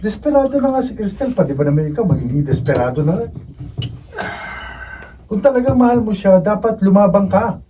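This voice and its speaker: A man's voice: sits high, expressive, a little fast, long pauses, warm tone. An actor playing a loyal young male friend, earnest and encouraging, in a Filipino radio drama. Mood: urgent encouragement. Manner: earnest, exhorting. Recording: distant mic, faint noise.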